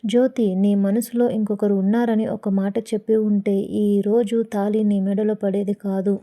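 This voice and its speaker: A woman's voice: mid-range voice, level tone, a little slow, warm tone, natural voice. A woman with a Telugu accent voicing a grave, wounded young husband in an audio story. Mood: hurt. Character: wounded, principled young husband, software engineer.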